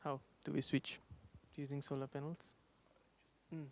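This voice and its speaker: A man's voice: on the high side, level tone, normal speed, vocal fry, slight background noise. A man in his thirties with a Singaporean accent, answering questions on a panel in a hesitant way with many fillers. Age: 30s. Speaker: panel speaker.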